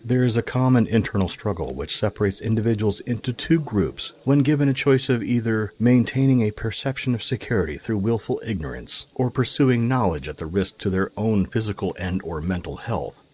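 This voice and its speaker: A male narrator tells scripted fiction in formal, literary phrasing. His voice is low and husky, with very animated intonation. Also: a little fast, few pauses.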